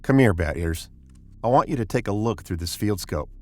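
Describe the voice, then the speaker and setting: An actor playing a commanding spaceship captain, terse and grim, in the style of old-time sci-fi radio drama. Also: male voice, on the low side, expressive, normal speed, warm tone, natural voice. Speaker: actor and story narrator.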